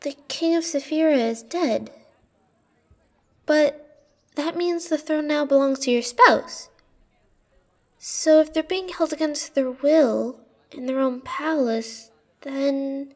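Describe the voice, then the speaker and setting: A woman's voice: high voice, slow, darkish tone. A woman playing a young, loyal, cautious girl serving a queen, earnest and deferential, in a fantasy audio roleplay. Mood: worried.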